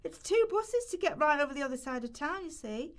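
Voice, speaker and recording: Desperate young mum for radio drama, played by a woman: high voice, pleading, flustered, apologetic. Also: very animated, fairly quick. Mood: pleading, distressed. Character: desperate young mother pleading over sanctioned benefits. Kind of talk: amateur radio play rehearsal.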